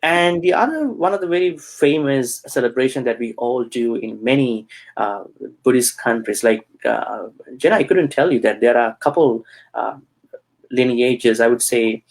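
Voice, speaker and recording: Gravelly hesitant Sri Lankan-born monk. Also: male voice, medium pitch, moderate pace, poor audio. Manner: hesitant, many fillers. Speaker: Buddhist monk. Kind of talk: casual interview answers.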